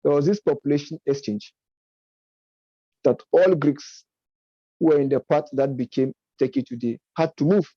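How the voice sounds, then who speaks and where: A man's voice: a little high, fairly even intonation, slightly rough, no echo, muffled recording. A man giving an unscripted lecture-style commentary, arguing step by step and correcting himself as he goes. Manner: argumentative, self-correcting, measured delivery. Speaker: speaker on politics and religion.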